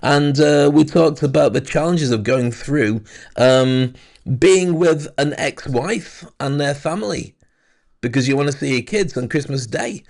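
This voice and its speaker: A man's voice: a little high, a little slow, creaky, darkish tone. A British man hosting a casual live-stream chat over a video call, reflective and chatty. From Britain. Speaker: host.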